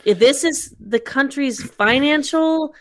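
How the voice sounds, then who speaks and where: A woman's voice: high voice, slow. Frank, sweary woman in casual talk. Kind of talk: casual chat.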